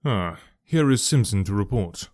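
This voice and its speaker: A man reading an audiobook and voicing a calm, incisive detective who speaks in a measured, courteous, precise way. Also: fairly low pitch, no room sound, clean studio sound, pitched below the performer's own voice.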